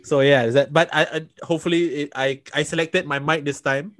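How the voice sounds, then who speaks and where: A man's voice: fairly high pitch, steady tone, fairly quick, dry recording. Singapore guy on a podcast, chatty, lots of "like" and laughs, dry mic sound. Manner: laughing, self-deprecating, chatty with filler words. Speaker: podcast co-host. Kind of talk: casual chat. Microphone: handheld microphone over a video call.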